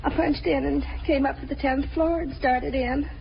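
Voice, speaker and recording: Muffled 1950s radio crime drama: a distraught, talkative cleaning lady, high voice, rambling working-class speech. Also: steady tone, normal speed, vocal fry, slight background noise. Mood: shaken, chatty. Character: distraught, chatty cleaning woman who found a body.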